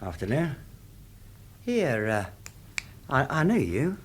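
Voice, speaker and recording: An actor with an English accent playing a shifty, cheeky working-class suspect in a classic radio drama, hesitant and evasive. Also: male voice, medium pitch, wildly swooping pitch, slow, a little hiss or hum. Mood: wary.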